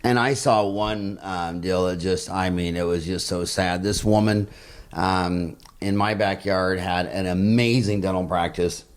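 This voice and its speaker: Halting man interviewing on a podcast. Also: low voice, steady tone. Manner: halting, repeats phrases, anecdotal. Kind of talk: conversational interview. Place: dining room at home.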